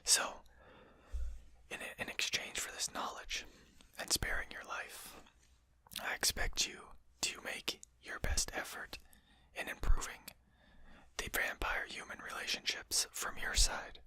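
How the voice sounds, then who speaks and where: Casting: performer playing a genteel vampire host for ASMR roleplay, crisp bright whisper, formal, polite, deliberate. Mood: reasonable, explaining. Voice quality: breathy unvoiced whisper. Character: courteous, genteel vampire host lecturing a captured hunter.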